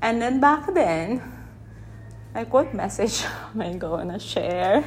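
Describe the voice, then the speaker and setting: A woman from the Philippines whose first language is Tagalog, talking unscripted into a phone held in one hand on her podcast, gently and with many restarts as she searches for words. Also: medium pitch, expressive, slow, a little hiss or hum, poor audio. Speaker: podcast host. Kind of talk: unscripted reflective podcast monologue. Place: unedited setting with background sound.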